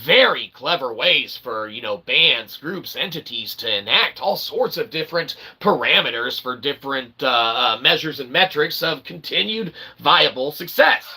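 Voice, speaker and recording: A man hosting his own channel, rambling through an opinion monologue with lots of "okay?" and sudden loud outbursts. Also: mid-range voice, normal speed, hardly any pauses, slightly rough. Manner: rambling, repeats "okay?" and fillers, bursts of loud excitement. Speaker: channel host.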